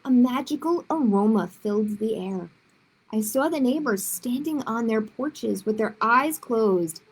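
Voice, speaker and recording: A woman's voice: fairly high pitch, darkish tone, husky, low-quality recording. A woman reading a children's story aloud expressively, with short explanatory asides to young listeners.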